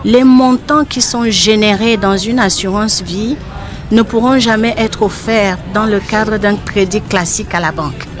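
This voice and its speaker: A woman from Cameroon gives measured, explanatory interview answers. Her voice is mid-pitched, and music plays clearly behind her. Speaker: life insurance agent, interview guest.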